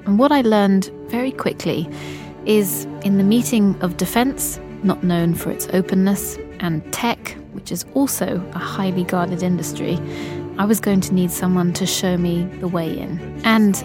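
A woman hosting an investigative podcast in measured, scripted narration. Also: mid-range voice, music in the background. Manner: measured, storytelling.

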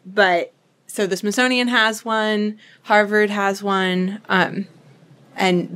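A woman's voice: fairly low pitch, a little hiss or hum. A woman researcher speaking casually and thoughtfully as an interview guest. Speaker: materials science researcher, interviewee. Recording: faint background ambience.

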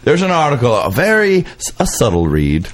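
A man hosts a casual gossip show with a very lively, swooping pitch. His delivery is sassy, catty and campy, built for comic banter. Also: moderate pace. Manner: sassy, catty, campy, acts out imagined quotes. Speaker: podcast host.

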